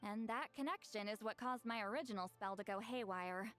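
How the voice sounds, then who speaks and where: Woman doing a proud guarded shaman lady from a video game, highish voice, kinda wistful, music behind. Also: a little fast, a bit bright, natural voice. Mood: explanatory. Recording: studio voice over game music.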